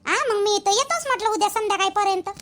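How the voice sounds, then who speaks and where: A woman's voice: very high voice, fast, over loud music. A woman voicing a busy, talkative village mother in a cartoon, rambling and repeating herself in colloquial Vidarbha Marathi. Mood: chatty, practical. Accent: Varhadi Marathi, Vidarbha. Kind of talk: rural family cartoon dialogue.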